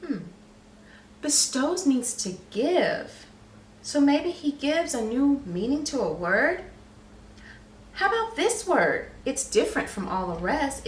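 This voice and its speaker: Woman, children's storybook reader, slow, bright, teacherly questions and explanations, slightly distant mic. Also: high-pitched, slight background noise. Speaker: early childhood read-aloud teacher. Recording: roomy, echoey, slightly distant microphone.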